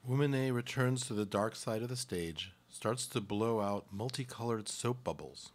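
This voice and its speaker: A man with a fairly low voice serves as narrator of a radio play. He reads scripted stage directions between scenes. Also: normal speed.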